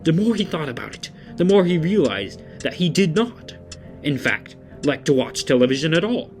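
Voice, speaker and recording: A man's voice: fairly high pitch, very animated, moderate pace, soft background music. A man reading scripted storybook narration for an audio drama.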